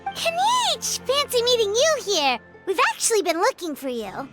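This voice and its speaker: Tiny excitable fairy sidekick, extremely high childlike voice, swooping intonation, chirpy video game acting, music underneath. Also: female voice, normal speed, heavily acted. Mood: bright, surprised. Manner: chirpy, flustered, exclamatory. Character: small childlike excitable floating fairy companion, female. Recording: music playing behind the voice.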